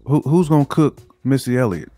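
A man co-hosting a podcast, joking around in animated banter. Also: mid-range voice, brisk, faint music behind. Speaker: podcast co-host. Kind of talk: casual chat.

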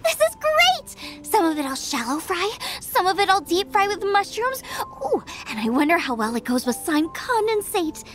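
Cheerful excitable girl chef for a game, super high voice, really animated. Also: normal speed, few pauses, over music. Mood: excited, eager. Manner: excitable, breezy, eager. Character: cheerful, food-obsessed young girl chef on a hunt. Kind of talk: scripted game dialogue.

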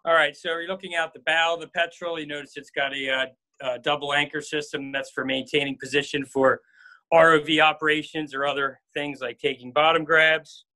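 Man, forties, casual tour guide, halting with fillers, handheld phone, dry close sound. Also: a little high, level tone, pushed and bright, no room sound, poor audio. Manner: casual, hesitant with frequent uh fillers. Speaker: marine field station staff guide. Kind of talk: live walking tour narration. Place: aboard a workboat and on a dock outdoors. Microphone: phone camera held at arm's length.

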